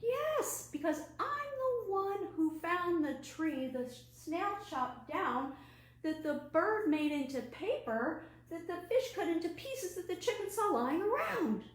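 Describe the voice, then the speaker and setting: A woman voicing an excited little storybook frog, speaking emphatically and insistently. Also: very high-pitched, takes it slowly, voice pushed up, exaggerated voice. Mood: insistent, proud. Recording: roomy echo, faint background noise.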